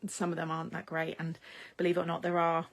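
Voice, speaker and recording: A woman's voice: sits low, completely flat delivery, fast, gravelly. A middle-aged British woman from the UK, chatting casually with viewers on a crafting livestream, reading their comments aloud and laughing often. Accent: British English. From United Kingdom. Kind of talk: casual live chat while crafting.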